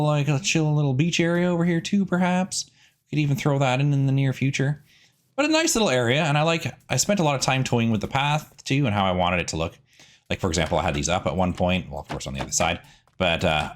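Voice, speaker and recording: A man hosting a gaming series, giving chatty, rambling, easygoing casual commentary as he plays. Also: mid-range voice.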